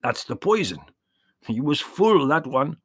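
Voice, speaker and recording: A man's voice: a little high, sing-song, slightly rough, no echo, studio quality, pitched above the performer's own voice, full character voice. A man doing a toothless old village shepherd. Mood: storytelling. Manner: slow, dramatic, relishing gruesome detail. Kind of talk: audiobook character voice.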